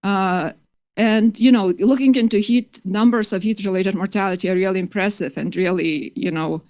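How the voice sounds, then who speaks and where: A woman's voice: mid-range voice, steady tone, normal speed, a little mellow, dry recording, studio quality. Earnest woman presenting. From Lithuania. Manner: earnest, many fillers and restarts. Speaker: conference presenter. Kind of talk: academic conference talk. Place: conference hall.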